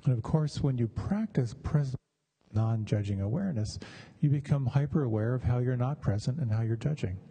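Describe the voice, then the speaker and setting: A man with a deeply mellow, relaxed voice that is husky and edged with creak. He talks like a meditation teacher sharing stories with students. His delivery is measured and halting, with room left between phrases. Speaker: meditation teacher giving a talk. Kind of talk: conversational dharma talk with personal stories.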